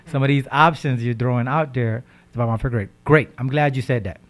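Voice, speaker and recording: A man hosting a radio talk show, giving casual diet advice. He speaks with lively energy, repeats words, and keeps checking in with "right?". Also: medium pitch, brisk. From Haitian background. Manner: animated, repeats words, asks "right?" often.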